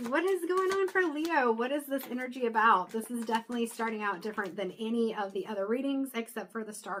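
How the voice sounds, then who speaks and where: A woman's voice: a little high, a bit bright, rough recording. Chatty woman losing her voice. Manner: chatty, thinks aloud, frequent fillers and restarts. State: losing her voice, throat clearing. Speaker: tarot reader. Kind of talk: casual intuitive tarot reading to camera.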